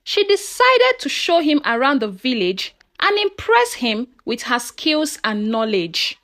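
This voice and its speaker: A woman's voice: high-pitched, very animated, unhurried, fairly bright tone. A woman narrating a scripted folktale in a dramatic storytelling manner, posing questions to her listeners.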